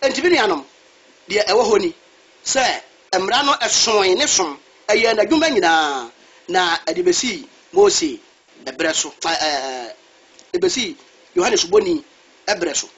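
High-voiced, husky preacher. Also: male voice, very animated, unhurried, fairly bright tone. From Ghana. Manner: switches between Twi and English, asks a reader to read verses. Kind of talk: sermon. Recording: dull lo-fi recording.

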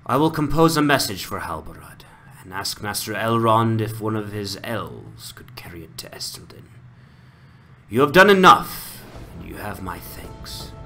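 A man's voice: lowish voice, very animated, normal speed, pushed and bright, faint music behind, heavily acted. A man voicing a noble, regretful ranger chieftain with formal, solemn speech in a fantasy game dramatization. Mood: solemn, kindly.

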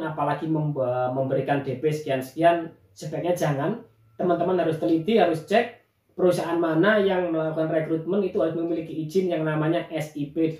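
A man in his thirties gives an informal explainer in a slightly high voice. He is instructive and addresses his listeners directly. The sound is clearly roomy, picked up a little way from the microphone. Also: a little mellow. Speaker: host of an informational channel for migrant job seekers. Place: small indoor room at a desk. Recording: roomy echo, distant microphone.

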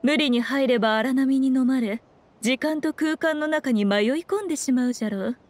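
A woman's voice: high-pitched, moderate pace, a little mellow, slight background noise, lightly acted. A woman playing a sly, regal fox shrine priestess in an anime game, speaking in an archaic, haughty and composed way. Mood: measured, knowing. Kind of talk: anime video game acting. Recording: music behind the voice.

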